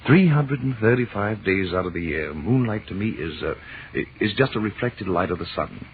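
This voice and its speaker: An actor playing a wry, romantic astronomy professor and husband with florid, mock-pompous delivery in an old radio drama. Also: male voice, fairly low pitch, lively intonation, moderate pace. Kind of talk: 1940s radio drama acting. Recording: muffled, noisy old radio recording.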